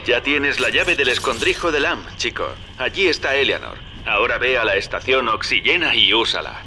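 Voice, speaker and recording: A man's voice: medium pitch, animated, normal speed, background noise, lightly acted. An actor with a Castilian Spanish accent playing a folksy, wry schemer who guides a younger man in a video game dub. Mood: brisk, scheming. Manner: familiar, wry, directing.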